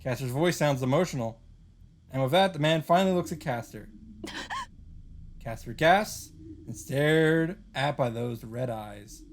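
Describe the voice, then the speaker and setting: A man's voice: medium pitch, lively intonation, unhurried, a little hiss or hum. A man reading a visual novel story aloud as a host, in a dramatic narrating style.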